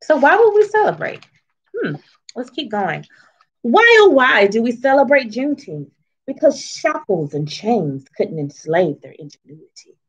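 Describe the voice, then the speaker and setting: A woman reads a picture book aloud to children as its author, in an expressive, teacherly way, pausing to explain and ask questions. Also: a little high, breathy edge, low-quality recording. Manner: teacherly, asks questions and checks in often.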